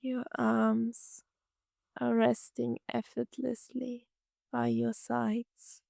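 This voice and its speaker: A woman narrating a scripted guided meditation, gently soothing, speaking in short phrases with long pauses. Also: on the high side, little pitch movement, creaky, dry recording, studio quality.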